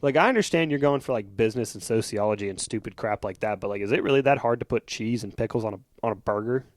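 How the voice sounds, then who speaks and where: A young man co-hosting a casual comedy podcast, telling animated stories and laughing often. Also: on the low side, fast talker, no room sound. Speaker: podcast co-host.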